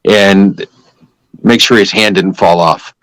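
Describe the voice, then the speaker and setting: A man's voice: sits low, fairly even intonation, takes it slowly, fairly bright tone, no room sound, low-quality recording. An older man giving a personal religious testimony as a guest, telling it in an emphatic, repetitive, conversational way.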